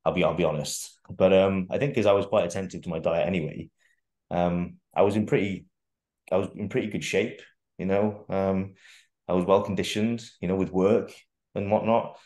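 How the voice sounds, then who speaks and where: Young guy coaching type, really low voice, echoey bare room, rambly and swears a bit. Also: fairly even intonation, normal speed, a little mellow. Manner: rambling, many restarts, casual swearing. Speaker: guest fitness coach. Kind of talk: casual interview chat. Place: bare room at home, indoors.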